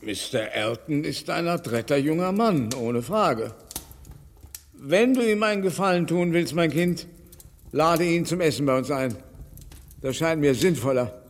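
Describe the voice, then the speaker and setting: An elderly gentleman father in a radio drama, fussy and doting. His voice is somewhat high for a man, with a plaintive manner. It rings with long reverb. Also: animated, measured pace. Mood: mild, approving. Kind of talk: radio play acting.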